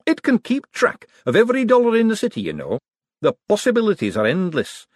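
Man doing a flustered young inventor, stammering, high and jumpy, husky edge. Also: huge pitch swings, brisk, no echo, studio quality, heavily acted. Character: nervous excitable male economist inventor.

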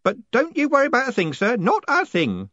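An eager, servile old postman from a storybook. His hoarse voice sits high and swoops about freely as he fusses deferentially and conspiratorially. The audio is a little dull. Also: huge pitch swings, fairly quick, pushed and bright, no room sound, heavily acted. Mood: cheerfully ominous. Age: older. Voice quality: hoarse whispering. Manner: servile, punctuating speech with "sir".

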